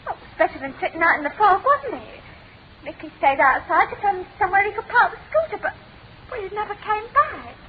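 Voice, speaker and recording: Scared young brit girl in old radio play, really high voice, stammery and defensive, thin noisy sound. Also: animated, brisk, phone-quality sound. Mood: defensive, upset. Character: frightened, defensive young woman searching for her boyfriend. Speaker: actress in a radio drama. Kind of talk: British radio drama acting.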